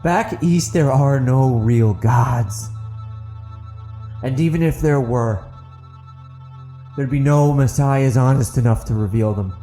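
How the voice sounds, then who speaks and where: Audiobook role: male narrator as crazed wounded outlaw zealot, theatrical and taunting, pitched up, music underneath. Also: takes it slowly, long pauses, warm tone, close to an everyday voice. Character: mad wounded gang gunman, cackling zealot of living gods. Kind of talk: dramatic audiobook character acting.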